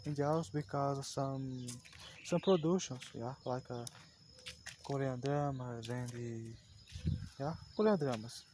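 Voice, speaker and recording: Slow, halting man. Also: medium pitch, noisy background. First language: Portuguese. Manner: halting, searching for words, frequent "yeah". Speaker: language learner. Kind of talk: unscripted practice monologue.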